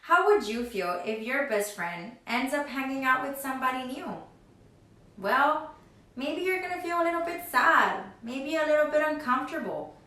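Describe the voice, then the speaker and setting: A woman's voice: high-pitched, expressive, normal speed, sharp tone, heavy reverb, recorded from a distance. A woman teacher talking to young children as she hosts a read-aloud, often asking them questions directly. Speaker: teacher reading picture books aloud for children.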